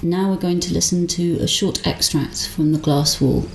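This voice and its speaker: Female announcer, slightly low, gravel-edged voice, scripted links, roomy sound with faint noise. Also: moderate pace. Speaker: programme presenter.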